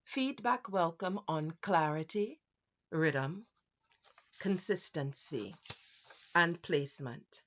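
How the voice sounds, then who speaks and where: A woman's voice: lowish voice, expressive, takes it slowly, long pauses, muffled recording, a little hiss or hum. A woman with a Grenadian accent giving a brief scripted announcement as an accent practice performer. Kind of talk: brief scripted intro and sign-off.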